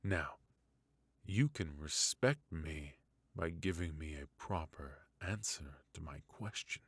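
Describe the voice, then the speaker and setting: Haughty, indulgent royal tutor for fantasy ASMR , played by a man: male, deep, unhurried, formal and quietly bitter. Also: animated, breathy edge, no echo, heavily acted. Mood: wry, teasing. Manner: formal, measured, wry, with musing hums and soft chuckles. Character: forgotten adult male royal mentor, resentful, haughty yet indulgent. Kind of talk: intimate ASMR fantasy roleplay.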